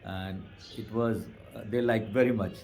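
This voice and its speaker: A man's voice: lowish voice, fairly even intonation, moderate pace, darkish tone. An Indian man, a tour driver, plainly recounting a trip route in an interview. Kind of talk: casual interview.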